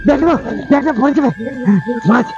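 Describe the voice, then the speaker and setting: A man playing an excitable, eager village fisherman in an amateur horror-comedy skit, exclaiming and repeating himself. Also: extremely high pitch, moderate pace, crowd noise. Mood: excited. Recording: dull lo-fi sound with loud music.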